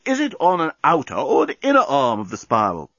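A gloomy alien navigator, voiced by a male narrator, patronizing and exasperated. The voice is slightly husky, on a dry, dullish audiobook recording. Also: mid-range voice, huge pitch swings, brisk, fairly bright tone, no room sound, dull audio, full character voice. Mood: insistent.

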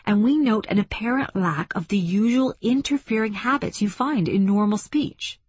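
A woman's voice: medium pitch, normal speed, slightly rough, no echo, voice pushed up, natural voice. A woman voicing a passionate, combative female linguist with an emphatic, lecturing manner in an audiobook story. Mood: earnest, explaining.

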